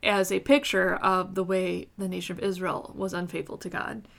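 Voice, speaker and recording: A woman in her thirties hosting a casual podcast in a room with her sisters, earnest and quick to laugh, pausing with fillers as she thinks aloud. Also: fairly low pitch, flat delivery, vocal fry, fairly bright tone. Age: 30s. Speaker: podcast host. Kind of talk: casual faith podcast chat.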